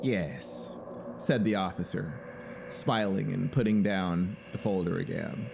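A man in his thirties reading a story as dramatic, deliberate, expressive audiobook narration, close on the mic. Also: sits low, gravelly. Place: small home music studio, indoors. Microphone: broadcast mic on boom arm, close. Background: ambient synth soundscape noise.

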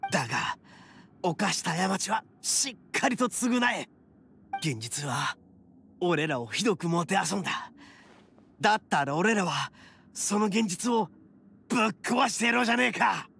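An actor playing a brash, loyal, hot-blooded oni gang boss in a Japanese anime game, rough, cocky and gravelly. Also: male voice, sits high, big pitch swings, measured pace, long pauses, bright, forceful tone, slight background noise, heavily acted. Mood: resolute. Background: game music.

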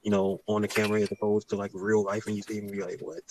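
A man's voice: fairly low pitch, monotone, rapid-fire, background noise. A man chatting casually on a late-night live stream, rambling and using laid-back slang with lots of "you know".

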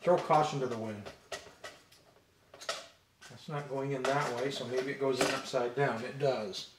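An older man casually thinking aloud as he demonstrates something hands-on, musing and low-key, picked up by a camera mic indoors. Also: fairly even intonation, unhurried. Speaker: vintage robot channel host. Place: indoor room with glass display table. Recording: echoey room, clear noise, handling clicks.

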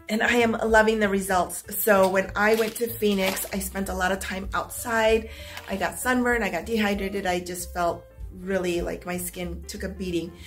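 A middle-aged woman filming a casual unboxing vlog at home, upbeat and chatty, her voice slightly hoarse as if she is losing it. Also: mid-range voice. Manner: chatty and upbeat, clears her throat now and then. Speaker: vlogger. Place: small home room with stacked boxes, indoors.

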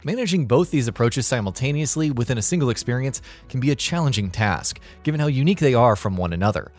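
A man's voice: medium pitch, lively intonation, fast, faint music behind. A man reading a scripted video narration as the narrator.

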